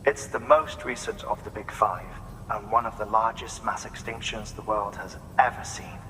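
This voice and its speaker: A man's voice: fairly low pitch, fairly even intonation, normal speed, pushed and bright, slight background noise, rough recording. An actor playing a courteous male scientist who speaks in a formal, lecturing manner. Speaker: scientist character.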